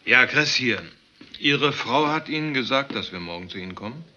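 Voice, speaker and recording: An actor playing a businesslike, persistent homicide detective in a German crime radio drama. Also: male voice, medium pitch, lively intonation, normal speed, pushed and bright, highs cut off. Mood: businesslike, insistent.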